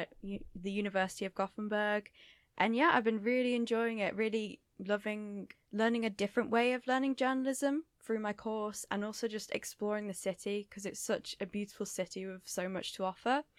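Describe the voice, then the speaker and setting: A woman's voice: medium pitch, no room sound, studio quality. A young woman with an English accent, a student, introducing herself cheerfully and fluently on a podcast. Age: young adult.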